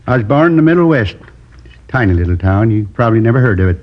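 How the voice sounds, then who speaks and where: An actor playing a lonely man in his seventies who confesses to a robbery in a slow, rambling, wistful way, in 1950s radio drama acting. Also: lowish voice, expressive, natural voice. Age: 70s. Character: lonely old male drifter turned robber. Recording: dull old radio broadcast.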